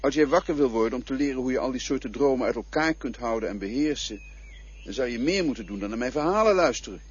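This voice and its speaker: Actor doing a husky, lively-voiced guide of the dead for a radio play, patient and quietly mocking, dull lo-fi sound. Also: male voice, mid-range voice, quick pace. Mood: calm. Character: calm cryptic male guide of the dead. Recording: dull lo-fi old radio recording.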